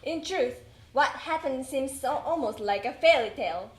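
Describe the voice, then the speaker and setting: A woman giving a theatrical, expressive reading of a wartime letter as part of a readers theater. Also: high voice, normal speed. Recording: roomy, distant mic, faint noise.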